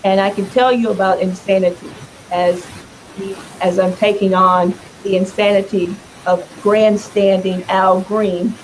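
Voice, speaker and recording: Raspy-voiced woman giving a campaign speech. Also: mid-range voice, fairly even intonation, unhurried, slight background noise, low-quality recording. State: hoarse. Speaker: congressional candidate.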